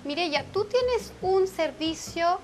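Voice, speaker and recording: A woman's voice: very high-pitched, lively intonation, slow pace. A woman hosting a television interview in a studio set, introducing guests and asking leading questions. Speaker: talk show host. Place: TV studio living-room set.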